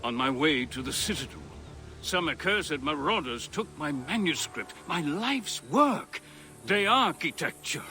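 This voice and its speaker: An actor playing an effusive Roman architect and scholar, speaking in a grandiloquent, theatrical way for a video game. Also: male voice, on the high side, sing-song, unhurried, pushed and bright, soft background music, heavily acted. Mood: indignant. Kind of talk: video game cutscene acting.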